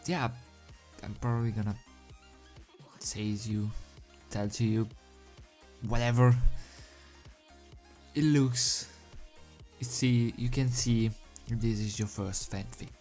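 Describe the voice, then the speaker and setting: A man who is not a native English speaker, reading and commenting as an amateur in a halting, giggly, self-deprecating way. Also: medium pitch, darkish tone. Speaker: amateur fan fiction reader. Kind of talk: story reading with casual commentary. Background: background music.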